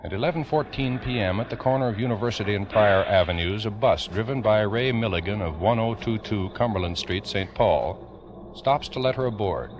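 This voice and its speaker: A man giving solemn, ominous, deliberate scripted narration in the style of old-time radio drama. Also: on the low side, lo-fi sound. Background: organ music.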